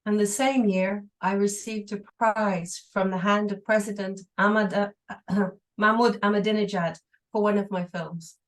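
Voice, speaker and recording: Woman reading out a translation, mid voice, careful and steady. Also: medium pitch, normal speed. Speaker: interpreter. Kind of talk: reading an English translation aloud.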